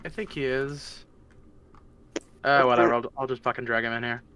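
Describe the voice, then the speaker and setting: A man's voice: medium pitch, flat delivery, normal speed, a loud crowd. A man streaming a game and chatting casually, with a jokey, profane manner. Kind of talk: casual joking group chat over a game.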